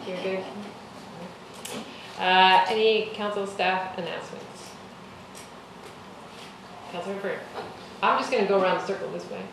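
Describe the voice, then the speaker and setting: A woman's voice: mid-range voice, vocal fry. Woman chairing a council meeting, echoey. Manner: measured, reassuring, with filler ums. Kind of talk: formal meeting chair, casual phrasing. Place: council chamber. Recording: echoey council chamber, far mic, noisy.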